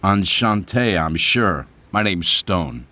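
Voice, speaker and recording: An actor playing a cocky American captain in a video game, sardonic and laid-back. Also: male voice, sits low, lively intonation, takes it slowly, no room sound, heavily acted. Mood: dry. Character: wisecracking, cocky American captain, prisoner of war. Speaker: captured pilot. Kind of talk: video game cutscene acting. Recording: loud music behind the voice.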